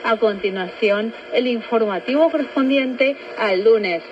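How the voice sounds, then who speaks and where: A woman's voice: fairly high pitch, normal speed. A woman radio announcer introducing an international news broadcast from a script. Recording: muffled, faintly noisy shortwave radio.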